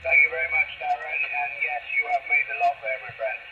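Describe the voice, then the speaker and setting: A man's voice: a little high, steady tone, fairly quick, narrow, tinny audio. A man casually relaying messages over a CB radio link. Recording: faintly noisy CB radio.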